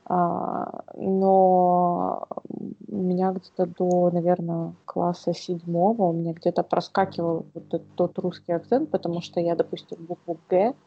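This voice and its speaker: Female podcast co-host from Ukraine, low and gravelly, halting conversational delivery, muffled audio. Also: flat delivery. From Kyiv, Ukraine. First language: Russian. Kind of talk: casual podcast chat.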